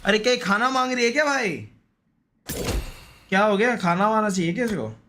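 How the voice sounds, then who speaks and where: A man's voice: high voice, moderate pace, pushed and bright, faint music behind. A man with an Indian accent chatting casually to viewers on a game stream, slangy and repetitive, often exclaiming and grumbling. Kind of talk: casual live stream chat with viewers while gaming.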